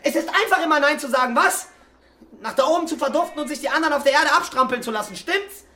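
A man's voice: very high voice, quick pace, bright, forceful tone, soft background music. A man playing a taunting, volatile young killer in a crime radio drama, aggressive and crude. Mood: sneering, taunting.

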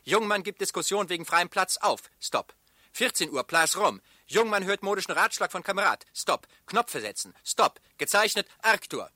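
Officious telegram dictation, high male pitch, staccato phrasing, bureaucratic manner, radio drama, dry studio sound. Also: sing-song, normal speed, bright, forceful tone, no echo, heavily acted. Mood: officious, urgent. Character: clipped, officious man dictating a terse telegram report. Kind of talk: theatrical radio drama recitation.

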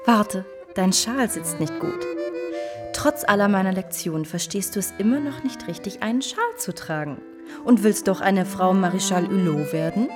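An actress playing a vain, coquettish and commanding society seductress in a radio drama. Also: female voice, medium pitch, animated, normal speed, natural voice. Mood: condescending. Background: instrumental music.

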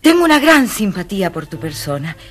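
Bella, a gentle brave girl. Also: mid-range voice, fairly quick. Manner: earnest, expressive delivery. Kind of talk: 1980s children's audio story acting.

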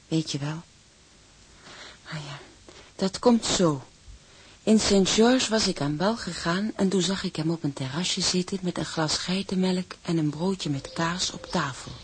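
A very husky, wry seaman storyteller. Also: high voice, moderate pace. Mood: wry, confiding. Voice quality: husky, breathy. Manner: wry, confiding. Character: young seaman narrating his shipboard life wryly.

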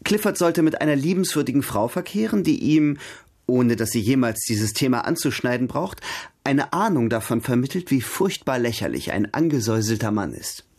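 Lebhafter junger Gentleman, belegte Stimme. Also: mid-range voice, animated, normal speed, dry recording, clean studio sound. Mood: polite, persuasive. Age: young adult. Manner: polite, eloquent, teasing. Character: charming, eloquent young gentleman, lively and playful. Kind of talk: radio drama dialogue, period literary adaptation.

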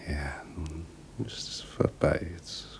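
Very deep, whispery, despairing invalid man. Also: vocal fry, full character voice. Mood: bitter anguish. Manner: halting, broken phrases, anguished. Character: bitter, despairing lame invalid husband, abandoned and frail. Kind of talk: 1960s German radio play acting.

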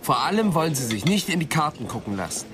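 An actor playing a sardonic, skeptical police detective who needles people, in a German radio crime drama. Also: male voice, a little high, sing-song, fast talker, crowd noise. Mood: teasing.

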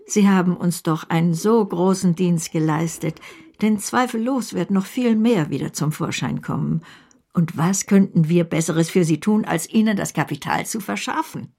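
Bossy but kind old aunt, creaky mellow voice, no nonsense, radio play. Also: female voice, lowish voice, moderate pace. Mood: brisk generosity. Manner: decisive, matter-of-fact. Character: brisk, commanding, kindhearted older aunt. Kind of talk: German radio drama acting.

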